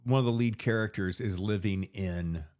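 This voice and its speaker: A middle-aged man hosting a podcast in casual interview chat, telling anecdotes in an animated way and acting out dialogue. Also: lowish voice, moderate pace, no room sound, studio quality. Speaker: podcast host.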